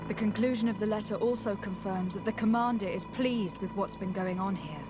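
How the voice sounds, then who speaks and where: An actress playing a principled young noblewoman diplomat in a video game, earnest and protective, speaking in a measured, formal way. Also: mid-range voice, over music, natural voice. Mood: grave.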